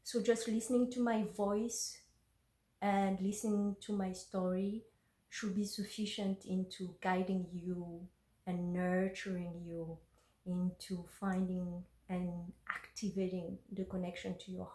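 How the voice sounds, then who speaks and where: A woman's voice: on the low side, level tone, unhurried, dark tone. A woman whose first language is French, an intuitive energy worker who speaks hesitantly with many ums and uhs in a personal vlog and then reads her journal aloud. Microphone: phone. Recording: roomy phone recording.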